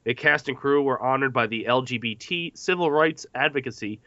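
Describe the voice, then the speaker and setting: A man's voice: medium pitch, fairly quick, bright, forceful tone, no room sound, dull audio. A man hosting a podcast movie discussion, reading out facts from notes between questions to the panel. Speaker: podcast host.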